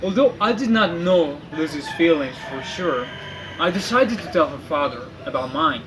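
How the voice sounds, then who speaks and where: A man acting as an earnest young French nobleman in exile. His voice sits fairly high for a male, and he recounts his life formally and deliberately, as in a staged classic novel. There is faint noise on the amateur recording. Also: lively intonation, natural voice. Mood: earnest, hopeful. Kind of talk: scripted dramatic monologue of a classic novel.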